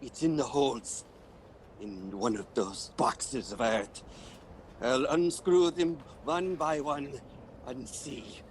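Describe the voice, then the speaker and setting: Haunted, slow-spoken ship's mate, acted by a man. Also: mid-range voice, lightly acted. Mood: haunted, obsessive. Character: haunted, superstitious male ship's mate sliding into madness. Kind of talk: radio play acting. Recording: faint background noise.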